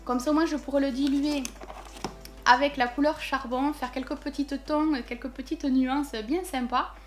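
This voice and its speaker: A woman's voice: high voice, steady tone, moderate pace, soft background music. A woman chatting casually while unboxing a parcel at home, thinking aloud with many fillers. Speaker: vlogger unboxing a package.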